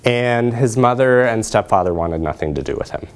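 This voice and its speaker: Man, middle-aged, lowish voice, measured lecturer, explanatory, pausing often. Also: expressive, background noise. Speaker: presenter, social work researcher. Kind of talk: academic lecture with slides. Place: lecture room, indoors.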